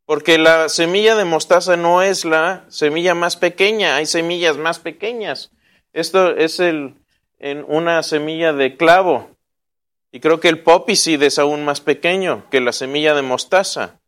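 A high-pitched man teaches the Bible in a relaxed class. He jokes and asks his listeners questions. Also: unhurried. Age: 40s. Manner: joking and chatty, asks the audience questions.

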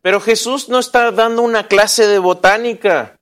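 A man's voice: high-pitched, steady tone, moderate pace, fairly bright tone. A man, teaching a casual Bible class, joking and quizzing his listeners. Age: 40s. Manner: joking and chatty, asks the audience questions.

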